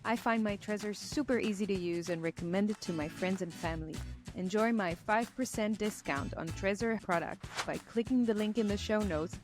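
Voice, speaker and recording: A woman giving a scripted sponsor read in a smooth, promotional way. Her pitch sits in the middle, and clear music plays beneath her voice. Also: moderate pace. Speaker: ad reader. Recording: music bed under the voice.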